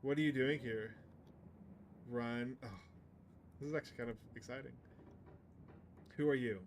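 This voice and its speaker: A man's voice: medium pitch, fairly even intonation, moderate pace. Man streaming a game, noisy background. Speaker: streamer playing a visual novel. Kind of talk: casual game commentary mixed with reading game text aloud.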